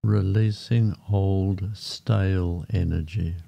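A man guiding a meditation in a calm, unhurried manner, speaking in short phrases with long pauses between them. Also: deep voice, gravelly. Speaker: meditation guide. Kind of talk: guided meditation narration. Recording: muffled, dull lo-fi sound. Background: background music.

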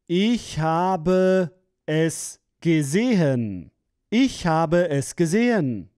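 A man's voice: fairly high pitch, big pitch swings, extremely slow, darkish tone, smooth, dry recording, studio quality. A man reading phrases for a language course, splitting and repeating them with careful enunciation. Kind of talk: slow, clear phrase-by-phrase repetition.

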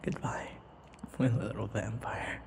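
Man playing a slow, creaky-voiced obsessive vampire lover. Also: on the low side, level tone. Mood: tender, fatalistic. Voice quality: breathy, often whispery, creaky. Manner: halting, pleading, possessive, full of sighs. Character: obsessive, lovesick young male vampire lover. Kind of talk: intimate ASMR roleplay acting.

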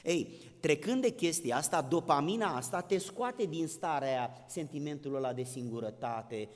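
A man's voice: on the high side, lively intonation. A man preaching a sermon in a plain-spoken, didactic manner, addressing his listeners directly. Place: large indoor hall with long reverb. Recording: large reverberant hall.

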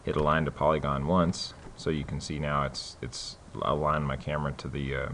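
Man with a very low voice, casual tutorial host, loose and halting delivery, light hiss behind. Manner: relaxed, many fillers and restarts. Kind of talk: casual screen-recorded tutorial.

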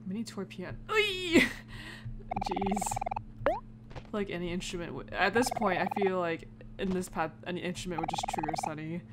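A woman's voice: lowish voice, expressive, normal speed. A woman giving casual, reactive let's play commentary, reading game text aloud and adding informal asides. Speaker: gamer narrating a playthrough. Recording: heavy background noise, clicks.

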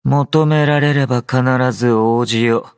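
Man voicing a slow, drowsy young android soldier. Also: mid-range voice, steady tone, smooth, no echo, clean studio sound, natural voice. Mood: dry, unhurried. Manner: languid, understated, sleepy delivery. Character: drowsy, low-energy young male android soldier, aloof yet gentle. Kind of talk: Japanese anime game character voice lines.

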